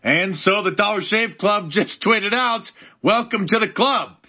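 A man giving mocking, sarcastic video commentary, slightly hoarse from a cold. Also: sits high, a little slow, sharp tone. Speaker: political commentator.

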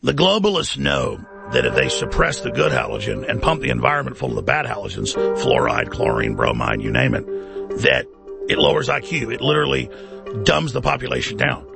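A man reading a hard-sell product ad with an intense, dramatic pitch. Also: huge pitch swings, a little fast, vocal fry. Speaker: ad announcer. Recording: ad read over loud music.

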